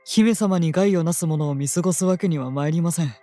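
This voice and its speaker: An actor playing a courteous, unnervingly calm guardian of a princess in a fantasy audio drama, speaking formally and precisely. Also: male voice, on the high side, a little fast, dry recording, soft background music, close to an everyday voice. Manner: formal, gentle, precise polite speech.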